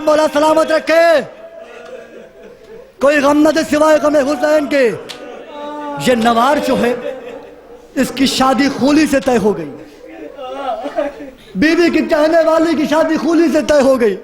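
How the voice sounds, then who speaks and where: A man's voice: extremely high pitch, long pauses, crowd noise. A man delivering an emotional mourning sermon, telling a sacred story in an impassioned, lamenting and dramatic way. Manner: impassioned, lamenting, acting out dialogue within narration. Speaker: religious orator leading a mourning gathering.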